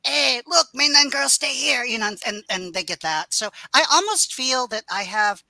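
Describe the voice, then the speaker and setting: Bright-voiced woman author on a video call. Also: high-pitched, lively intonation, moderate pace, no room sound. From United States. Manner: thoughtful, rambling with many fillers and restarts. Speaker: author guest. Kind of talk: casual video-call interview. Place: home room with bookshelves.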